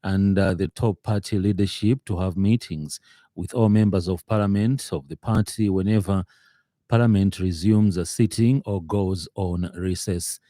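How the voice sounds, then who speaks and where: A man with a Zambian accent reading a scripted radio news bulletin in a formal, measured way. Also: low-pitched, fairly even intonation, warm tone, no echo, studio quality.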